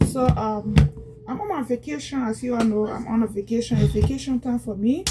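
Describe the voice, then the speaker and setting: A woman's voice: a little high, brisk, heavy background noise. A woman from Liberia with a Liberian accent, chatting casually to viewers in a product unboxing vlog at home, repeating herself as she goes. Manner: chatty, repetitive, talks straight to viewers. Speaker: vlogger.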